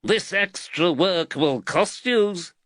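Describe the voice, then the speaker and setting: A man voicing a gruff, grudging foreman of labourers in an audiobook, grumbling in rough colloquial speech. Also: high-pitched, expressive, takes it slowly, a bit bright, no room sound, studio quality, voice pushed up, exaggerated voice. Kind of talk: audiobook character dialogue.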